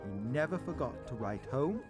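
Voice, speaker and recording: A man's voice: medium pitch, very animated, normal speed, over music. A man narrating a children's cartoon fairy tale. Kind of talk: storybook narration.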